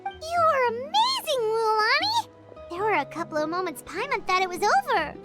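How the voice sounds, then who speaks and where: A woman voicing a tiny, excitable, childlike fairy companion in a video game, squeaky and exclamatory. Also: normal speed, loud music behind the voice. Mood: relieved, admiring. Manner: exclamatory, speaks of self in third person.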